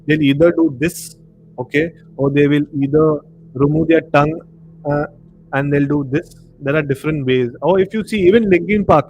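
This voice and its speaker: A man's voice: mid-range voice, darkish tone, rough recording. A man with an Indian accent hosting a casual live discussion, rambling a little and repeating words for emphasis. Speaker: live stream host. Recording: clear background noise, room ambience.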